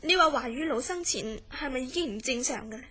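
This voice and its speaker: A woman's voice: high-pitched, lively intonation, brisk, pushed and bright, slightly rough, full character voice. A woman playing a distressed, insistent young woman in a Cantonese radio horror drama, with a Hong Kong accent. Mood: anxious, questioning. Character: distressed, insistent young woman seeking answers about a death.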